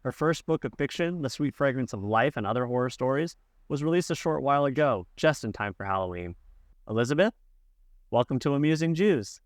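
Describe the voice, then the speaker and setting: A man co-hosting a podcast, reading a guest introduction in a steady scripted way. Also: medium pitch, fairly quick, no room sound, clean studio sound. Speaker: podcast co-host.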